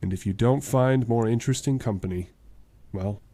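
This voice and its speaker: A mellow, mid-pitched male lawyer played by an actor in an old-time radio drama. He speaks with measured courtroom formality and a wry, self-sacrificing air. The sound is dry. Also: no room sound, lightly acted. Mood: wry resignation.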